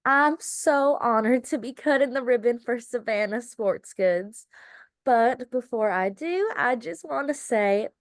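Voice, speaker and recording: Casting: actress as poised beauty queen addressing a crowd, high-pitched, dry sound, table-read drama. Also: lively intonation, measured pace, dry recording. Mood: proud, gracious. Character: gracious, poised beauty queen making a public speech. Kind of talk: screenplay table read acting.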